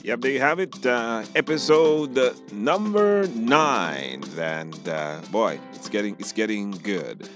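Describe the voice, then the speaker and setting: A man's voice: fairly low pitch, animated, slow, hardly any pauses, muffled recording, over music. A man with a Belizean accent hosting a radio show in a casual, conversational style. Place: radio studio. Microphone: studio microphone.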